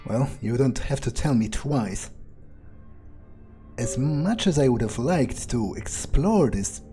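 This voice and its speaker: A man narrating his gameplay in character, thinking aloud in a measured, musing way. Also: medium pitch, expressive, warm tone. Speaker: gamer role-playing as his character. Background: faint music.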